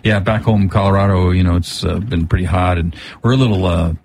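A man's voice: low-pitched, quick pace, a little mellow. A man from Hawaii chatting casually as a guest musician on a radio show, rambling in a laid-back, hippie way. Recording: clearly noisy background.

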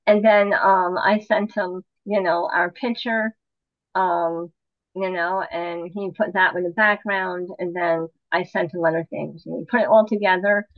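A woman in a casual interview, telling a lively story, acting out what people said and laughing often. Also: fairly low pitch, unhurried, dry recording, dull audio. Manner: animated, acts out quotes, laughs often. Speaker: guest, drummer in a women's drum group. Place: home with dogs nearby.

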